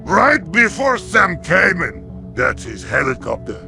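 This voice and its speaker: A synthetic male character voice playing a loud, commanding, impatient mercenary with broken English and a gravelly delivery, in an animated fan dub. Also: high voice, slow, faint music behind, exaggerated voice. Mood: urgent.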